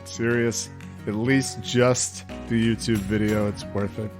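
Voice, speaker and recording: A man's voice: lowish voice, little pitch movement, slow. A man bantering casually as a podcast panelist. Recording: loud music behind the voice.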